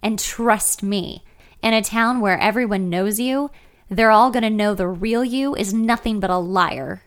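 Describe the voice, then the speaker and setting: A woman voicing a wronged, defiant young female songwriter in a story, forceful and emotional. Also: mid-range voice, a little slow, close to an everyday voice. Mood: furious, defiant.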